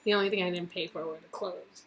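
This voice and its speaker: A woman's voice: on the low side, fairly even intonation, fast talker, slight background noise. A woman making casual side comments while co-reading an interactive game. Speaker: co-reader performing an interactive game.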